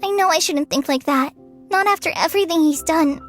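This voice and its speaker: A woman voicing a gentle, troubled young woman in a video game, speaking earnestly and hesitantly. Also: extremely high pitch, a little fast, soft background music. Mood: troubled, sorrowful.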